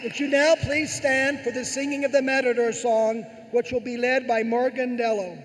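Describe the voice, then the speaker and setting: A man's voice: extremely high pitch, flat delivery, moderate pace. A middle-aged man giving a formal ceremonial address as a university president at a podium microphone in an arena. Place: large indoor arena, at a podium. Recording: echoey arena, noisy ambience.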